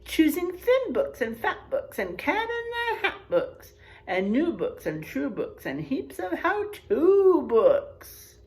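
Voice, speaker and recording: A woman's voice: high voice, wildly swooping pitch, slow, darkish tone. A woman teacher reading a picture book aloud to children, expressively, stretching out rhymes and adding chatty, exclaiming asides.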